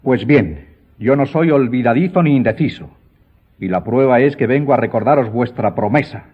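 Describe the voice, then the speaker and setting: A proud count acted by a man in a radio play, husky and pompous, pressing his point with stiff military formality. The audio is narrow and phone-like. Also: mid-range voice, normal speed, lightly acted. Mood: indignant. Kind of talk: Spanish radio drama acting.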